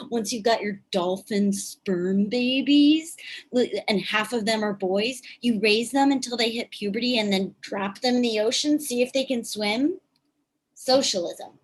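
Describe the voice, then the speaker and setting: A woman's voice: mid-range voice, husky. A woman playing a bitter, exasperated, sweary activist in a live staged play reading. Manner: sweary, biting.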